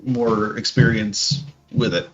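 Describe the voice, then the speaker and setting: A man's voice: on the low side, level tone, fairly bright tone, slight background noise. Man chatting, slightly dull lo-fi sound. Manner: halting, repeats himself. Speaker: podcast co-host reacting to a video. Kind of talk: casual chat.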